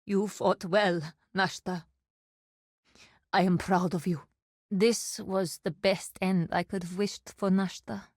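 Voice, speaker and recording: An actress voicing a proud, dutiful desert warrior woman in a video game, speaking in a formal, measured, solemn way. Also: mid-range voice, fairly even intonation, darkish tone, dry recording, studio quality. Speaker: game character.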